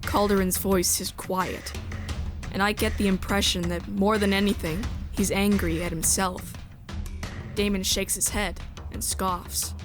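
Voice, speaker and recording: A woman's voice: mid-range voice, fairly even intonation, a little slow, over music, close to an everyday voice. A woman playing a sensitive, resolute outsider who narrates a space drama in the first person. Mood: uneasy.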